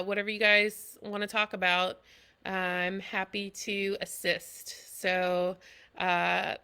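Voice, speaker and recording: A woman talks off the cuff in a live video, her voice nasal from allergies but bright and crisp. She rambles in a friendly way, leaning on lots of fillers as she goes. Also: mid-range voice. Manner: loose and chatty, many "you know" and "um" fillers. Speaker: military service member presenting school tips. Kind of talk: impromptu live video talk.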